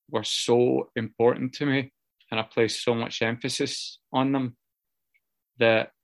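A Scottish man telling his own story of drink and drugs, halting and candid, with many pauses, fillers and restarts. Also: medium pitch, fairly bright tone, dry recording. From Scotland. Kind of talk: personal recovery story, casual monologue.

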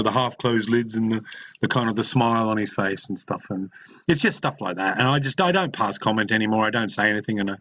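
A middle-aged man with an Australian accent, sharing his story at a recovery meeting, talking haltingly with many pauses and restarts. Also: on the low side, a bit bright, highs cut off. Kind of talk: personal share at a support meeting.

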